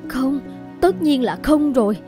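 A woman playing a gentle, anxious, devoted middle-aged mother in an animated fairy tale, with a coaxing, worried manner. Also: high-pitched, a little slow, over music.

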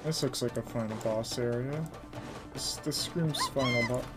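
A man's voice: medium pitch, normal speed. A young man giving casual, offhand, joking gameplay commentary as a streamer. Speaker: game streamer. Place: indoors, live stream over game audio. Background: game music and effects.

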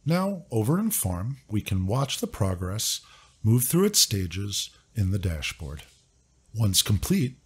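A man narrating a scripted software walkthrough in a clear, upbeat, step-by-step instructive way. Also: lowish voice. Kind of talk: scripted screen-recording walkthrough.

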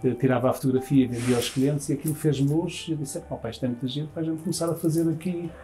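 A man's voice: on the high side, fairly even intonation, fast, dark tone, soft background music. A man in his fifties with a European Portuguese accent, a restaurant manager answering interview questions at length, restarting phrases as he goes. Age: 50s. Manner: talks with his hands, many restarts. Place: restaurant dining room, indoors.

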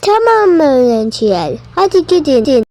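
A woman playing a kindly schoolteacher in an amateur cartoon, addressing pupils in simple, deliberate phrases. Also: high-pitched, big pitch swings, darkish tone. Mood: earnest. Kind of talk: amateur cartoon role-play. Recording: noisy homemade recording.

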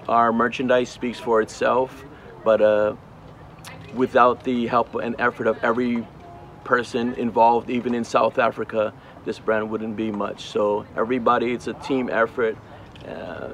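A man in his forties, from Hawaii, talks about his brand at an outdoor red carpet event. He sounds upbeat and a little rambling, stumbling over words and filling pauses with "uh". The voice is mid-pitched, picked up on a handheld mic outdoors. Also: fairly bright tone, a little hiss or hum. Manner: upbeat, rambling with fillers and restarts. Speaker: brand co-owner, interviewee. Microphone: handheld interview mic.

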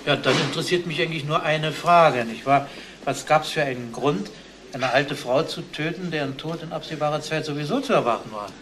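A male actor plays a dogged chief detective in a radio play. The voice is on the higher side for a man, halting and repetitive as he puzzles and probes. Light noise sits behind it. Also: a bit bright, close to an everyday voice. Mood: pondering. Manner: halting, questioning, repeats himself. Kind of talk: German crime radio play acting.

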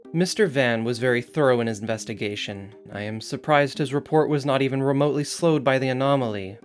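A man's voice: medium pitch, close to an everyday voice. Casting: male actor as defiant site director for audio drama. Formal, insistent, sardonic edge. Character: defiant male research site director, arguing his case. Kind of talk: scripted audio drama interview.

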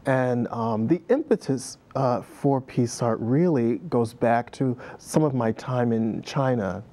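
A man's voice: medium pitch, slow pace, mellow. Middle-aged male guest, reflective storyteller, easygoing, studio lapel sound. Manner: reflective storyteller, many fillers, laughs easily. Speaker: guest, dance director. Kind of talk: conversational interview. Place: TV studio set.

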